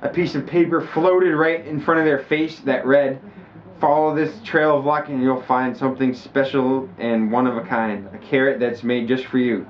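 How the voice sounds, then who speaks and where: A man casually reading a children's story aloud, stumbling and halting as he goes. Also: medium pitch, fairly even intonation. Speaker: amateur story reader. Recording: muffled lo-fi home recording, background noise.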